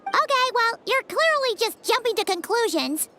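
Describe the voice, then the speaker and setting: A woman voicing a small, childlike fairy companion in an anime-style video game, chirpy, blunt and excitable, with a squeaky voice. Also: normal speed, a little hiss or hum, heavily acted. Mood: cheeky. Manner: refers to self in third person, exclamatory.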